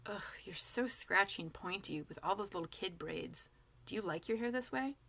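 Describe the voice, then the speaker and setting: A woman's voice: medium pitch, expressive, moderate pace, vocal fry, pushed and bright, exaggerated voice. A woman voicing a bossy, sneering young female zombie in a storybook reading, with a scornful, impatient manner. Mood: disdainful.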